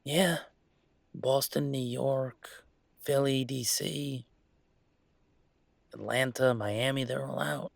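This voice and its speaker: A man playing a young werewolf boyfriend in an intimate audio roleplay, talking in a halting, rambling, conversational way with a gravelly creak. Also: medium pitch, steady tone, no room sound. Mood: pensive, wry. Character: young male werewolf boyfriend, hesitant, affectionate, weighing a move.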